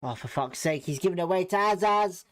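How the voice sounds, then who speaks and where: A man's voice: high voice, animated, fast talker, fairly bright tone. A man with a British accent giving informal live football commentary as a fan host, blunt, slangy and sweary in his reactions.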